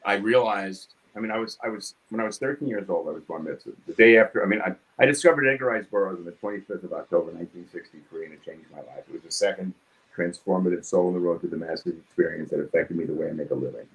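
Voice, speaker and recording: A man in his seventies from New York City with a New York accent, talking casually about his craft in his home studio, quick and succinct, hitting consonants hard. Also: sits low, a bit bright, low-quality recording. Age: 70s. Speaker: guest artist. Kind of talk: casual studio chat while drawing.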